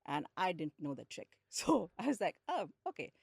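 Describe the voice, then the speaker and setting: Podcast guest: woman, Indian accent, deep register, telling personal stories conversationally, very little room sound. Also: very animated, normal speed, gravelly, darkish tone, slightly rough, no room sound. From India, grew up in Lucknow and Hyderabad. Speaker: podcast guest, hospitality executive. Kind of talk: conversational interview storytelling.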